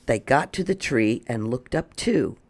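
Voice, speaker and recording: A storyteller reading a children's picture book aloud in an expressive way, drawing out words for emphasis. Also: mid-range voice. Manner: expressive, slow, drawn-out emphasis. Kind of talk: animated children's read-aloud.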